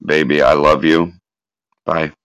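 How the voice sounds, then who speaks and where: A man voicing an anguished woman in danger, pleading and halting, in a dramatic reading of last phone calls. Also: rumbling low, level tone, a bit bright, no room sound, lo-fi sound, exaggerated voice. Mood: tearful, loving.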